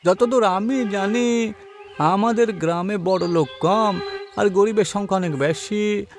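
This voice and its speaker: A dim, fawning cartoon landlord with a high-pitched voice. He grumbles and questions in an exaggerated way, with soft music underneath. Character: slow-witted, fawning, grumbling village landlord. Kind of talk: dubbed cartoon acting. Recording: voice over faint background music.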